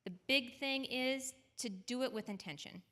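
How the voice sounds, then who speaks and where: Bright-voiced woman giving parenting advice. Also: high-pitched, normal speed. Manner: conversational, keeps checking in with "right?". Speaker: parenting panelist. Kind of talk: advising at a panel discussion. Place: church auditorium.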